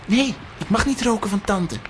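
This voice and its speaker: A woman's voice: mid-range voice, expressive, moderate pace, a little hiss or hum, lightly acted. A woman playing a plucky, well-behaved young boy in a children's audio drama. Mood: earnest.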